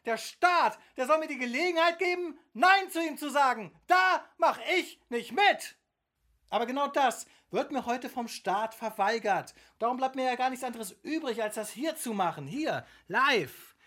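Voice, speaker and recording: An actress playing a stubborn, indignant refuser in a radio drama, complaining emphatically. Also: female voice, high voice, expressive, normal speed, a bit bright. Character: stubborn indignant female refuser protesting against the state.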